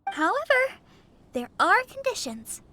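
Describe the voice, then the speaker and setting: Sweet, very high teenage girl healer. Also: sing-song, a little slow, heavily acted. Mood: earnest. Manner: gentle, girlish, polite. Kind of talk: anime game voice acting. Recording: loud music behind the voice.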